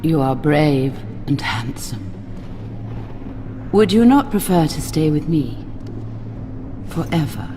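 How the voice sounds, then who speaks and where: Vampire queen for a game, slow n low, seductive, taunting, loud scary music behind. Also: big pitch swings, exaggerated voice. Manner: theatrical, slow, taunting, slipping into breathy whispers. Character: seductive, imperious vampire queen, cruel and mocking. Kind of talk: dramatic video game cutscene acting. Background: loud ominous music.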